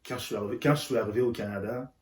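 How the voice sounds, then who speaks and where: A man's voice: lowish voice, a little mellow. A man, a guest talking candidly and earnestly, often stumbling and repeating words. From Central Africa. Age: 30s. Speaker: interview guest. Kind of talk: candid conversation. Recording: roomy echo.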